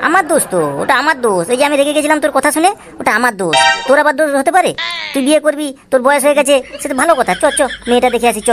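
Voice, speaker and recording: A woman's voice: high-pitched, very fast, close to an everyday voice. A woman voicing an exasperated, foul-mouthed, sly father in a comic cartoon, grumbling and wheedling. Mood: coaxing, placating. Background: music.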